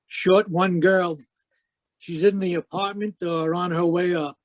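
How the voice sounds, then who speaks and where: A man playing a hard-boiled, higher-voiced radio private eye. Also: fairly even intonation, measured pace, dry recording. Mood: curt, wry. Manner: terse, clipped, world-weary. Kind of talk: 1940s radio detective acting and narration.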